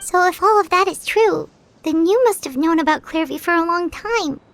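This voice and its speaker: A tiny floating fairy companion chirps in a very high, bright voice. She is excitable and insistent, referring to herself in the third person like a game sidekick. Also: normal speed. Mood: curious.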